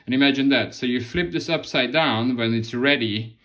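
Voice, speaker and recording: Relaxed man explaining a craft. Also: medium pitch, normal speed. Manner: relaxed, loose phrasing with many restarts. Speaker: model-making tutorial host. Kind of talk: casual hands-on tutorial. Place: indoor hobby workspace.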